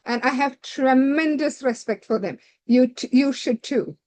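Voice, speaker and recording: High-voiced woman tutoring exam candidates. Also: unhurried, a little mellow. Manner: direct, instructive, repeats points with "so".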